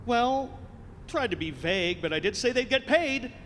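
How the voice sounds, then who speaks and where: A man's voice: very high-pitched, animated, normal speed, fairly bright tone. A man playing an earnest, self-promoting, folksy former ballplayer in a live radio play. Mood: eager. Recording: noisy live stage in echoing hall.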